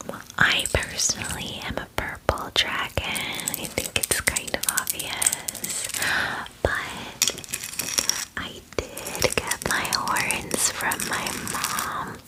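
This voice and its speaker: A woman voicing a friendly, chatty young female purple dragon in a soft, whispered ASMR fantasy roleplay, with casual, girlish phrasing. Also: close to an everyday voice, poor audio.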